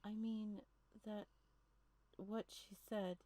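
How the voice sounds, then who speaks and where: A woman playing a story game on stream, reading and commenting in a halting, muttering way. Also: mid-range voice, little pitch movement, dark tone. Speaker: streamer playing a horror visual novel.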